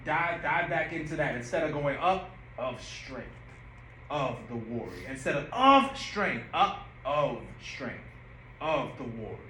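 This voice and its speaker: A man's voice: on the high side, very animated, measured pace, sharp tone, distant mic, a little hiss or hum. A man directing and coaching actors in a rehearsal, giving notes and acting out lines to demonstrate them. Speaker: director and writer. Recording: echoey room.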